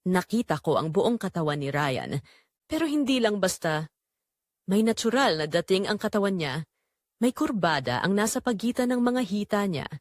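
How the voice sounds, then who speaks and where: A woman's voice: medium pitch, dry recording, studio quality. A woman playing a guilty, secretly tempted married mother who narrates her own story in an audio drama. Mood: tense, yearning. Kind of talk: first-person scripted audio drama narration.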